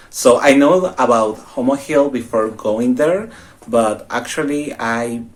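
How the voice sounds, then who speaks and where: A young man from Mexico chatting on a podcast, telling stories in an animated way and laughing often. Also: medium pitch. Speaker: podcast co-host. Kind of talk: casual chat.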